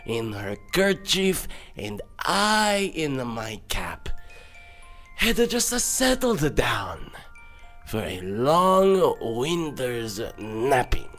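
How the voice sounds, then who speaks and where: A man narrating a storybook tale in a theatrical, dramatic and expressive way. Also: medium pitch. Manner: dramatic, expressive, drawn-out phrasing. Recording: music behind. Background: background music.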